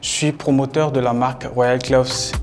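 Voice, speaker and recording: A man's voice: medium pitch, little pitch movement, normal speed, music in the background. A man in his twenties, a fashion contestant, speaking in a matter-of-fact way. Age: 20s. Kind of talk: casual interview.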